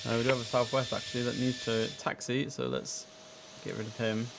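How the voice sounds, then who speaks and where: Creaky-voiced man, casual game commentary. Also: medium pitch, fairly even intonation, a little fast, very noisy. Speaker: streamer playing an air traffic control simulator. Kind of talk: casual game commentary with clipped radio calls.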